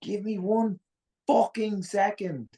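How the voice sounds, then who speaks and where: An actress in a dramatic table read playing a panicked, incredulous person, stammering and exasperated. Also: female voice, mid-range voice, steady tone, slow pace, dark tone. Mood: alarmed. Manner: stammering, exasperated, foul-mouthed.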